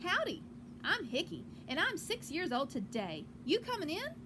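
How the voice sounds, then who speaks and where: A woman's voice: very high voice, huge pitch swings, moderate pace, background noise, voice pushed up, heavily acted. A woman voicing an eager, friendly six-year-old boy in a storybook, with wide-eyed curiosity. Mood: eager, curious.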